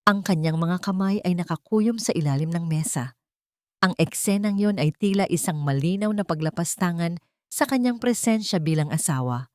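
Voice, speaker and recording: A woman's voice: lowish voice, lively intonation, moderate pace, a little mellow, no room sound, clean studio sound. A woman reading a scripted dramatic story as narrator, in a solemn, dramatic manner.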